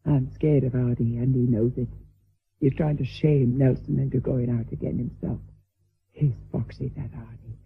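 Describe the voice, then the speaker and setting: A woman's voice: very deep voice, fairly even intonation, normal speed, vocal fry, husky, exaggerated voice. An actress playing a middle-aged, vulgar, hard-drinking lady mistress in a radio drama, declamatory, vain and fearful, lurching between coarse bluntness and maudlin grandeur. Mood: uneasy. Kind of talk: theatrical radio drama acting. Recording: thin, phone-like band-limited radio drama audio.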